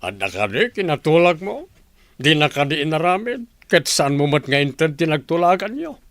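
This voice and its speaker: Radio drama father, male actor: stern, older Ilocano man, reproachful, swinging pitch, dry close sound. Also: big pitch swings, normal speed, a bit bright, no echo. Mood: questioning.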